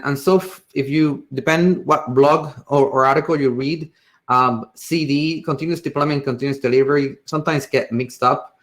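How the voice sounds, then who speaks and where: A man from Puerto Rico presents technical material with a Puerto Rican accent, Spanish being his first language. His pitch sits in an ordinary middle range. He speaks informally and feels his way through sentences with hesitant, broken phrasing. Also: fairly bright tone. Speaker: tech presenter.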